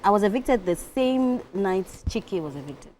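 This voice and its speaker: A woman's voice: mid-range voice, lively intonation, measured pace, a little mellow, slight background noise. A Nigerian woman with an Igbo accent, a singer and actress in her thirties, chatting playfully as a guest on a morning talk show. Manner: laughs easily, playful. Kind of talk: casual talk show interview.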